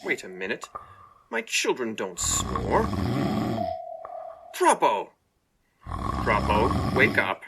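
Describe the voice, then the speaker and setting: A man's voice: on the low side, huge pitch swings, slow pace, vocal fry, pauses often, very noisy, full character voice. A man playing a stern but fond Martian king and father, grand and commanding, in a campy audio play. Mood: exasperated.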